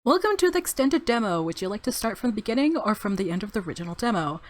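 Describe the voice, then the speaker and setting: A woman's voice: medium pitch, big pitch swings, quick pace. A woman doing casual, chatty and reactive gameplay commentary, sometimes reading game text aloud. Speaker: let's-play streamer. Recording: background game music.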